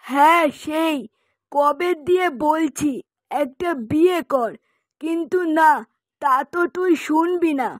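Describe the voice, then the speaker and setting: A woman's voice: sits high, slow, no echo. A woman voicing a nagging, worried widowed mother of a grown son in a moral-story cartoon, chiding and complaining.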